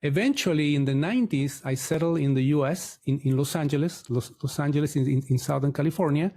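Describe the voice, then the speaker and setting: Middle-aged man, slightly high pitch, soft mellow tone, conversational podcast guest. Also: quick pace. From Chile. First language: Spanish. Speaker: podcast guest, mortgage broker. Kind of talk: conversational interview storytelling.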